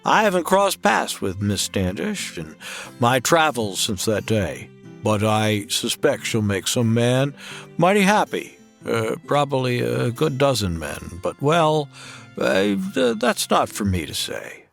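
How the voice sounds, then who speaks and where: A man playing a soft-spoken gravelly Western drifter. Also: mid-range voice, very animated, soft background music, heavily acted. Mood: narrating. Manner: folksy, halting drawl. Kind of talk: comedy audio drama acting and narration.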